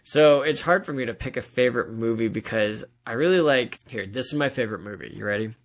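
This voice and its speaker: A man with a medium-pitched voice talks as a guest author in a relaxed interview. He explains himself conversationally, often slipping into imagined bits of dialogue. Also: a little fast. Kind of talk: casual interview chat.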